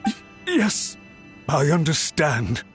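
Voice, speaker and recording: A timid, high-pitched male servant. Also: wildly swooping pitch, takes it slowly, faint music behind, exaggerated voice. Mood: nervous, deferential. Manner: hesitant, submissive, apologetic. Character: timid, deferential male servant, flustered by his superior. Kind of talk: video game voice acting.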